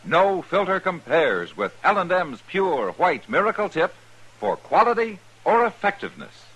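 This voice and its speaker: A man's voice: high voice, sing-song, moderate pace, fairly bright tone, husky, poor audio. An American man announcing a 1950s radio commercial in a punchy, emphatic style.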